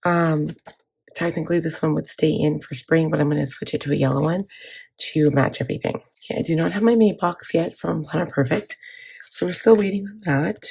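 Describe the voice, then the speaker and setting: A woman's voice: low-pitched, a little fast, gravelly, darkish tone, breathy edge. A woman with a scratchy voice chatting casually to her channel, with frequent fillers and restarts. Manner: chatty, filler-heavy, self-correcting. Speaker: planner vlogger. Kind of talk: casual chat.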